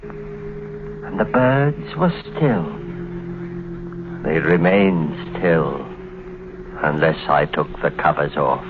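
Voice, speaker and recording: An actor playing a tormented, protective husband in a 1950s radio thriller, halting and intense in his melodrama. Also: extremely low pitch, music in the background, heavily acted. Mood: brooding. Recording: noisy, tinny 1950s radio recording.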